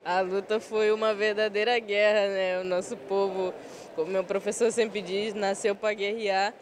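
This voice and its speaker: Young woman athlete in a flat, earnest interview. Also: mid-range voice, moderate pace, a little hiss or hum. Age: 20s. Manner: plain, earnest answers. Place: airport hall, indoors. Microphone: handheld reporter mic.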